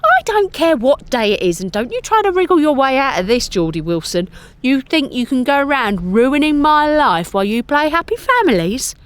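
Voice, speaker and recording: Woman acting a furious, shouting, accusing woman. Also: high-pitched, sing-song, moderate pace, voice pushed up. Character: furious, screeching, confrontational woman at the door.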